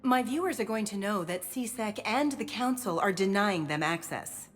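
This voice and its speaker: An actress playing a pushy, indignant news reporter in a sci-fi video game. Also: female voice, medium pitch, expressive, moderate pace, faint music behind, close to an everyday voice. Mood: insistent.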